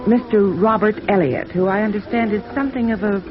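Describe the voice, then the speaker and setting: A woman's voice: mid-range voice, a little fast, highs cut off, natural voice. Coy, businesslike radio-drama woman, played by an actress. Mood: businesslike, playful. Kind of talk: 1950s radio drama acting. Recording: band-limited old radio, music or noise behind.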